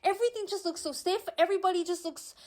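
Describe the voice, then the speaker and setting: A young woman with a high voice talks to camera in a relaxed, candid way. Portuguese is her first language, and she leans on fillers and emphatic asides as she speaks. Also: fast talker. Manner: chatty, candid, emphatic with frequent "you know" fillers. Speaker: vlogger, aspiring author. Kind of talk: casual chat to camera.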